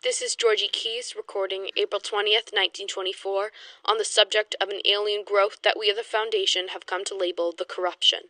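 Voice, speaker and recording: A woman's voice: medium pitch, level tone, fairly quick, few pauses, bright, forceful tone, lightly acted, rough recording. An actress playing a blunt, defiant woman researcher of the 1920s in an audio horror drama, speaking emphatically and urgently. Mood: grave.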